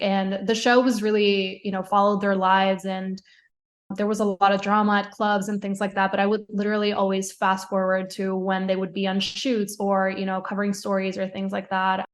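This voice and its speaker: Young woman from puerto rico, chatty, lots of um and you know, pretty flat voice. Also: medium pitch, a little fast. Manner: chatty, many fillers and restarts, laughs often. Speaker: podcast guest, fashion writer. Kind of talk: casual interview answers.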